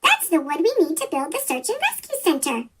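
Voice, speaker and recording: Reader doing a plucky polite little boy train, squeaky chipmunk effect, really high and lively. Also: fairly quick, heavy background noise. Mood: excited. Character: plucky, polite male little tank engine. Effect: sped-up chipmunk pitch shift.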